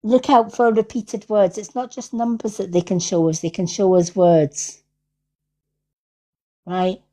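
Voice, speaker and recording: Scottish lady doing psychic readings on a live, slow and mellow, reassuring, says right a lot. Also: mid-range voice, flat delivery. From Scotland. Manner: affirming, repeats herself, tags with "right?". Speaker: psychic medium hosting a livestream. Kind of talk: casual livestream spiritual reading.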